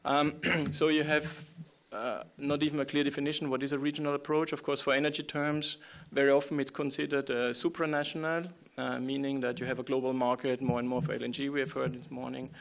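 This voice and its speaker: A man giving a conference talk, halting with many fillers and restarts and often clearing his throat. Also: mid-range voice, little pitch movement. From Austria. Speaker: conference speaker.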